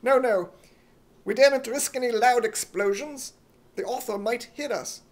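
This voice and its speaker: A man voicing an earnest, excitable young hero in an imitation British accent for radio comedy. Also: extremely high pitch, a little slow. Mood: urgent.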